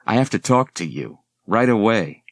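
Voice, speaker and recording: A man voicing a hurried, apologetic young woman in a halting, flustered manner for an audiobook. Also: lowish voice, sing-song, no echo, studio quality, voice pushed up.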